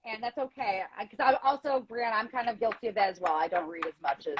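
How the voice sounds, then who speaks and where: A woman's voice: a little high, fast talker, dull audio. A woman around forty hosting a casual chat, blunt and candid, with free swearing. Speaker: podcast host.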